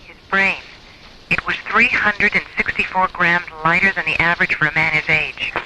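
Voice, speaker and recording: A man's voice: high voice, moderate pace, pushed and bright, slight background noise, poor audio. A man playing a cautious, concerned scientist in a science-fiction radio drama, earnest and a little hesitant. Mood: puzzled, grave. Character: concerned, cautious male scientist reporting grave findings.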